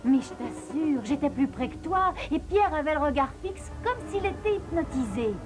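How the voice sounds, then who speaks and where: A woman's voice: high-pitched, expressive, moderate pace, over music. A woman playing an earnest, insistent young female teammate in a cartoon dub. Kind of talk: French cartoon dub acting.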